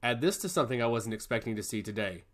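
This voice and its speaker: A wry, quick-witted woman, voiced by a male narrator, makes her case with lively pitch. She is firm and persuasive, a fantasy audiobook heroine. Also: close to an everyday voice. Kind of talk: fantasy audio story dialogue.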